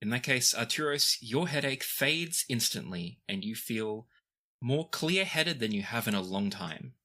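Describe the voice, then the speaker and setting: A man with a bright, forward voice works as game master. He moves between scene narration and casual explanation of the rules at a tabletop game. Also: mid-range voice, animated, unhurried.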